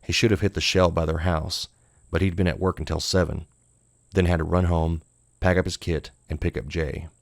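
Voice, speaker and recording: A man's voice: sits low, little pitch movement, moderate pace, vocal fry, no echo, clean studio sound. A man narrating a scripted horror short story as an audiobook.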